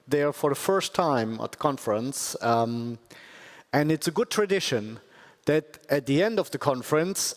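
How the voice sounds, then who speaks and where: A man addressing a conference audience from the stage as the organization's president, speaking in an unhurried, soft-spoken way with hesitant fillers. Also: mid-range voice. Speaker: foundation president. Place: large conference hall, on stage. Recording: long hall reverb, crowd behind.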